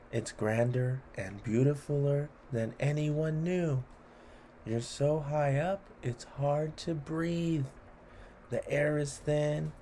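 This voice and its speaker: A man reads children's verse aloud in a relaxed, mellow voice at an unhurried pace. His delivery is expressive and deliberate, with encouraging asides to the listener. There is faint noise behind him. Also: on the high side. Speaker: read-along host.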